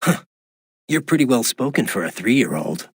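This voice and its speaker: An actor, a man, playing a gruff, wry cyborg soldier in a video game, with a gravelly, raspy voice and a laconic, informal way of talking. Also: mid-range voice, very animated, normal speed. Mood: wry, casual. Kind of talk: scripted video game voice acting.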